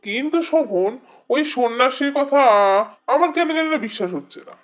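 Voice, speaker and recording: A man plays a young fisherman sidekick in an animated fable. His voice is pitched high. He is agreeable and a little wary. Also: fairly quick. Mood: cautious, friendly. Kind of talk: animated story character acting.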